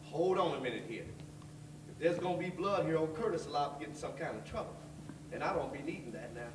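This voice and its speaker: A man's voice: a little high, expressive, roomy sound, lightly acted. Stage play, old male Southern janitor, acted by a man: folksy mentor, distant and noisy recording. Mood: alarmed. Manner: folksy, drawn-out storytelling. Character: kindly, wary old blues-loving orphanage janitor, fatherly mentor. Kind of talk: stage acting. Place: theatre stage, far camera.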